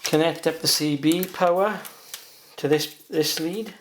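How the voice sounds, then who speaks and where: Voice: medium pitch, measured pace, a little hiss or hum. A hobbyist presenter giving a casual beginner's how-to, talking plainly and without hurry through each step while working.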